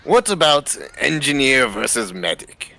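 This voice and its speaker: A man's voice: medium pitch, very animated, a little slow, creaky, pushed and bright, exaggerated voice. A man voicing a boastful, hard-drinking Scottish mercenary who teases his companions, in a fan story reading. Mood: teasing. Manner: teasing, boastful. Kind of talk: amateur voice acting in a live fan story reading. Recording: music behind the voice.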